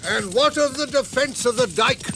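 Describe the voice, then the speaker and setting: A man's voice: very high voice, normal speed, gravelly, noisy background. An older actor in a radio drama playing a stalwart, brusque old man of authority. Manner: brusque, commanding. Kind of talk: 1980s radio drama acting.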